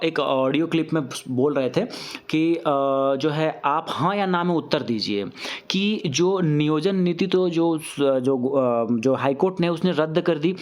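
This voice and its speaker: A man's voice: a little high, darkish tone. A man gives informal news commentary to students as a host, speaking conversationally and mixing in English words. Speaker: news update channel host.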